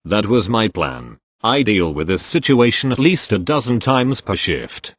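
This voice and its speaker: A man reads customer-service stories aloud in a low voice that swings widely in pitch. The sound is close and dry, with no room sound. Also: a little slow, no echo. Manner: expressive reader voicing each character in turn. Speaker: narrator reading online posts. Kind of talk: scripted storytelling narration.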